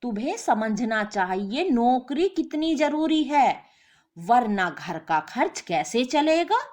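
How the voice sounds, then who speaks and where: A woman's voice: fairly high pitch, very animated, slow pace, darkish tone. A woman voicing a lazy, jobless husband who is whiny, indignant and self-pitying, in a story.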